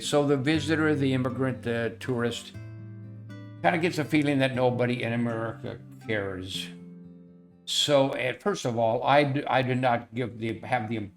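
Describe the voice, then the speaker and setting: An older man telling a personal story in an earnest, folksy way, full of fillers and repeated phrases, as the founder of an educational software firm. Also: mid-range voice. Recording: faint guitar music underneath.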